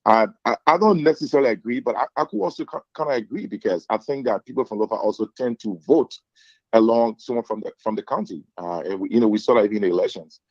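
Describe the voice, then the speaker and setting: A man from Liberia with a Liberian accent, speaking as a guest in measured, thoughtful commentary with hesitant restarts. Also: lowish voice, a little mellow, no room sound, dull audio. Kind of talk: reflective call-in commentary.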